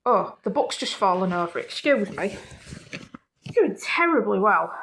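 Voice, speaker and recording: A woman reading a children's picture book aloud in an animated way, with casual, playful, apologetic asides. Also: medium pitch, moderate pace, vocal fry, a little hiss or hum, poor audio. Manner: playful, self-interrupting, apologetic.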